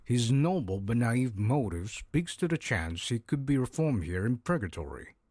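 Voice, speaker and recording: A man playing a grave, fair-minded judge in a visual novel, speaking in a formal, measured way. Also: medium pitch, sing-song, a little mellow, no room sound, studio quality. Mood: stern, judicious.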